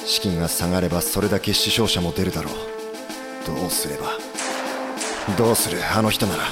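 A man acting as an idealistic samurai commander-in-chief in a period audio drama, earnest, gentle and resolute. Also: deep voice, fairly quick, loud music behind the voice, close to an everyday voice. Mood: grave, resolute.

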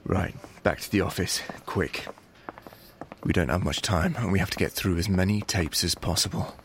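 An actor playing an earnest, persistent investigator in an audio drama, reasoning in a measured but urgent way. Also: male voice, low-pitched, slight background noise. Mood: dry, urgent.

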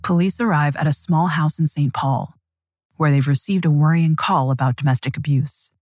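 Husky low-voiced woman narrating true crime. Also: a little mellow, no room sound, clean studio sound. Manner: measured, dramatic storytelling. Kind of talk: scripted narration.

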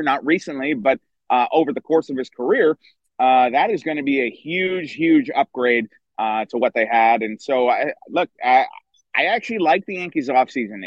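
Middle-aged guy, raspy from yelling all night, talking fast and excited about baseball stuff, muffled lo-fi sound. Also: medium pitch, no room sound. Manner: chatty, enthusiastic, many restarts. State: hoarse from screaming at a concert. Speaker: baseball writer and guest. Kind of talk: casual podcast chat. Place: outdoors by a river.

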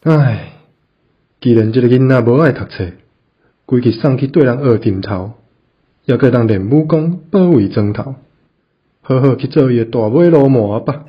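A man's voice: mid-range voice, animated, normal speed, pauses often, slightly rough, highs cut off. A man playing a stern, resigned Taiwanese father in a radio drama. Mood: exasperated, resigned.

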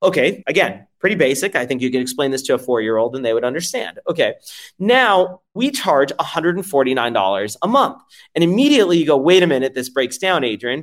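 Brief: male founder from France, fast and lively, fairly high voice, emphatic and profane. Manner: emphatic, profane, rhetorical questions. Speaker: startup founder guest. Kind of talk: energetic interview pitch.

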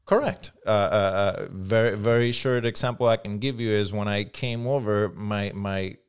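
A man's voice: lowish voice, steady tone, moderate pace, clear, resonant tone. A man in his forties from Puerto Rico with a Puerto Rican accent, speaking as a guest in a casual interview, candid and reflective, swearing now and then. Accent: Puerto Rican Spanish. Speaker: guest, firearms instructor.

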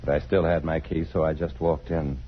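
An actor playing a troubled, tender middle-aged father in a 1950s radio drama, with a halting, earnest delivery. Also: a bass voice, little pitch movement, slight background noise. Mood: hesitant, earnest. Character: troubled, tender married father torn between two loves. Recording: dull vintage radio broadcast, faint music behind.

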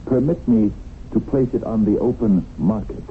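An actor in a 1970s radio drama playing a smooth-talking crooked land dealer who needles coyly while feigning innocence. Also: male voice, lowish voice, normal speed, lightly acted. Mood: feigned politeness. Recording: tinny band-limited old radio broadcast, hissy.

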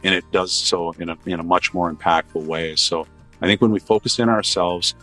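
A baritone-voiced man speaking as a podcast guest in an earnest, reflective way, often repeating phrases as he builds his points. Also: brisk, bright, forceful tone, faint music behind. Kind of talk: podcast interview, consulting talk.

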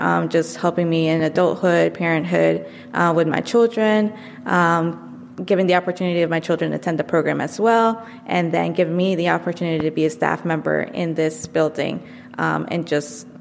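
A woman in her thirties, an after-school program coordinator, answering interview questions in a measured way with frequent fillers. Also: fairly low pitch, gravelly. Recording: long hall reverb, faint noise.

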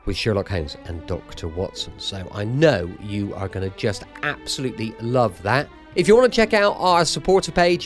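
A man hosting a radio show, giving an upbeat, effusive outro. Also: on the low side. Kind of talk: scripted podcast outro. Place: home.

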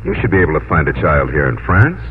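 An actor playing a brisk, inquisitive American embassy official in a 1950s radio drama. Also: male voice, very deep voice, huge pitch swings, full character voice. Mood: friendly, curious. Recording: tinny, noisy old radio recording.

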